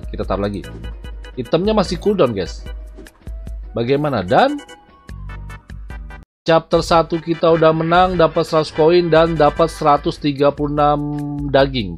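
Guy in his 30s doing chatty casual game commentary on a headset, medium pitch, music behind him. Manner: chatty, addresses viewers as "guys". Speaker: gaming YouTuber, host. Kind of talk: casual gameplay commentary to camera.

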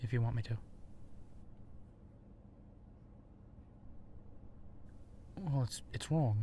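A male actor plays a man who secretly turns werewolf, friendly and self-effacing, in an intimate audio drama. His medium-pitched voice is halting and conversational. Some ambient noise runs behind the voice. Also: dark tone, close to an everyday voice. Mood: casual, helpful. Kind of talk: roleplay audio drama acting, intimate ASMR.

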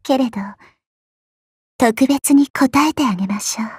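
A woman playing a petite, elegant, coy and haughty goddess in a mobile game, speaking softly with refined, condescending politeness. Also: high voice, a little slow, dry recording, studio quality, exaggerated voice. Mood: haughty, alluring. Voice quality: soft, breathy. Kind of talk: Japanese mobile game character voice lines.

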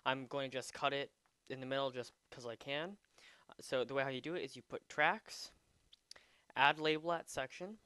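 A man presents an informal how-to at his computer in a middle-pitched, clean voice. He works it out aloud as he goes, with some hesitation. The sound is dry, with little room around it. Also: steady tone, moderate pace, sharp tone, smooth, no room sound. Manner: hesitant, thinking aloud while demonstrating. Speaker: tutorial presenter. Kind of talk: casual unscripted software tutorial.

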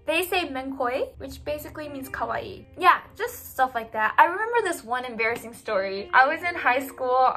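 A young woman from Japan chatting to the camera in her bedroom, animated and giggly, full of "like" and "you know". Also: fairly high pitch. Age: young adult. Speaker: vlogger. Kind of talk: casual chat to camera. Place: small bedroom indoors.

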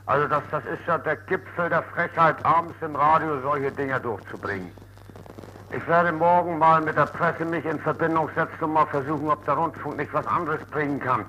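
Angry blunt guy phoning in a complaint, sharp bright voice, hissy 1950s tape. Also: a little high, moderate pace. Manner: indignant, blunt. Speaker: complaining radio listener calling in. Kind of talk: phone complaint. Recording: noisy 1950s archive telephone recording.

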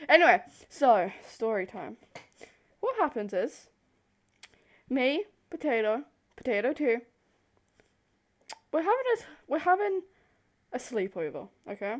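A young woman telling a story casually to camera in an animated, rambling, giggly way, easily sidetracked. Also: fairly high pitch, unhurried, long pauses. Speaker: video host. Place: bedroom.

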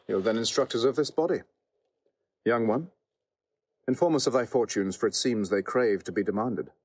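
An actor playing a noble Roman general, commanding yet fatherly, in a Shakespearean audio drama, with measured, authoritative verse delivery. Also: medium pitch, a little mellow, husky, lightly acted. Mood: curious, grave.

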